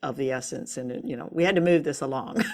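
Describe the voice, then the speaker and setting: A woman with a low voice talks casually as co-host of a review show. Her delivery is thoughtful and conversational, with a light teasing touch. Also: animated, brisk, a little mellow.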